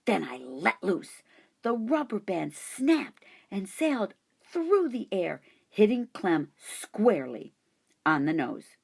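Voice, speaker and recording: A woman reading a children's chapter book aloud in an expressive storytelling manner. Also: medium pitch, vocal fry, slightly rough. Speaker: audiobook reader. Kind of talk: children's audiobook narration.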